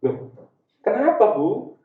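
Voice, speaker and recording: A man's voice: sits high, big pitch swings, slow. A man with an East Javanese Indonesian accent giving an informal talk as a guest speaker, telling personal anecdotes in a conversational way and repeating phrases. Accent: Javanese Indonesian, East Java. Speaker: guest speaker, community education founder. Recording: dull, echoey, distant from the mic.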